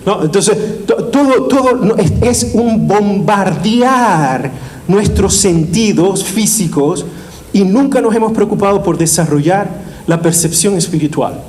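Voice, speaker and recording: High-voiced animated preaching priest. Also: male voice, a little slow. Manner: animated preaching, asks the audience questions and repeats phrases. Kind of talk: sermon.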